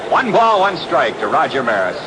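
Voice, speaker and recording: A man's voice: fairly high pitch, big pitch swings, normal speed. Noisy 1960s radio, American baseball announcer man. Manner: clipped short phrases, calling action as it happens. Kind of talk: live radio play-by-play commentary. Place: outdoor ballpark broadcast.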